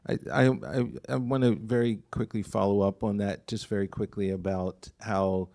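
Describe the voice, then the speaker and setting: A man's voice: fairly low pitch, moderate pace, gravelly, mellow. A man, a fellow poet, interviewing on stage at a live bookstore event and offering encouraging short affirmations.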